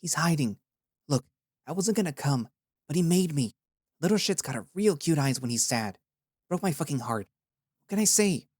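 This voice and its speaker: A man voicing a young, brash female honey badger warrior who swears bluntly, higher than his own voice. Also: animated, normal speed, long pauses, a little mellow, husky, no room sound, studio quality. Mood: grumbling.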